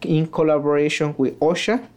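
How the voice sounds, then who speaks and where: A man from Oaxaca, Mexico, whose first language is Triqui, speaking with a Mexican Spanish accent as a community worker giving an interview in halting, simple phrases. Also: fairly high pitch, steady tone, darkish tone, a little hiss or hum.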